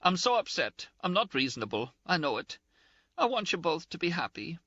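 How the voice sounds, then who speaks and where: A man voicing a tearful, scolding young Scottish nurse, shrill and sobbing in an audiobook. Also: sing-song, normal speed, pushed and bright, no echo, lo-fi sound, exaggerated voice. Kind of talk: audiobook character voice.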